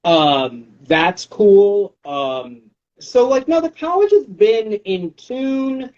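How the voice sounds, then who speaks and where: A man's voice: high-pitched, very animated, drawn-out words, darkish tone, muffled recording. A man in his forties talking casually in an interview, rambling in a wry, self-deprecating way. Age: 40s. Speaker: poetry show organizer.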